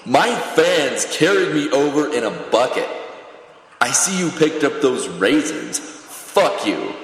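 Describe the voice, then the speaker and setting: A man's voice: mid-range voice, big pitch swings, slow pace. A man playing a vain, cruel, domineering cult pop star in theatrical audio drama dialogue, pompous and commanding. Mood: grandiose. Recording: echoey, reverberant hall sound.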